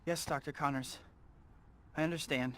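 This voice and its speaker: An actor playing an earnest, wry young American student who is secretly a superhero, in scripted game cutscenes. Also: male voice, on the high side, steady tone, moderate pace, pushed and bright. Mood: apologetic. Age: young adult. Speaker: hero and narrator. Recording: music behind the voice.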